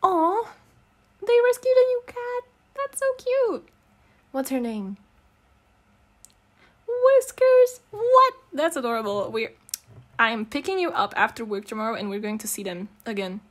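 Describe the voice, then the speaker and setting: A woman playing a giggly, teasing, affectionate girlfriend in an intimate ASMR roleplay, non-native in English, with soft, casual, rambling talk. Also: sits high, sing-song, measured pace, long pauses. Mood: delighted, cooing. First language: not English. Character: giggly, teasing, affectionate gamer girlfriend comforting her partner.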